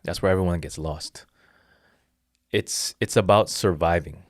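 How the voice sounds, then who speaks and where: Low-voiced man explaining martial arts philosophy. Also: a little slow, no echo, clean studio sound. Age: 30s. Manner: earnest, explanatory, with many restarts. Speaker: jiu-jitsu instructor. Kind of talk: casual interview talk.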